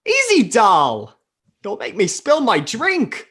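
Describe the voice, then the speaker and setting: A woman playing a wisecracking, hardboiled detective in a 1940s-style noir radio parody, speaking deliberately. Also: mid-range voice, wildly swooping pitch, a bit bright, a little hiss or hum, heavily acted. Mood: wry, cool.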